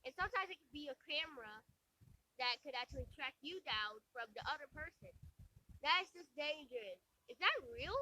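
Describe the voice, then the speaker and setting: A woman with a cold and a strained voice, reacting to a video in casual, excitable commentary. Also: sits high, slight background noise, rough recording. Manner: excitable, talks back at the video.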